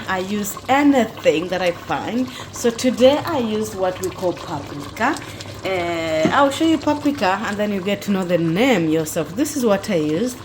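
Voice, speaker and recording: A woman's voice: mid-range voice, noisy background. A young Ugandan woman hosting a casual cooking vlog in her home kitchen, chatting her way through each step and often correcting herself mid-sentence. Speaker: vlogger.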